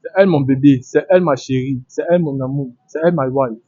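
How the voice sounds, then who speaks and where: A man's voice: medium pitch, fast talker, warm tone, breathy edge. A young man giving casual answers in a street interview, on a slightly muffled recording.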